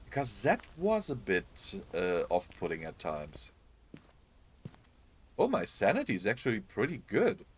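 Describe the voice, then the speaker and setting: A man streaming a game live, hoarse and losing his voice, chatting apologetically to his viewers. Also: on the low side, wildly swooping pitch, measured pace. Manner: apologetic, chatty, talks to chat. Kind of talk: live gameplay commentary.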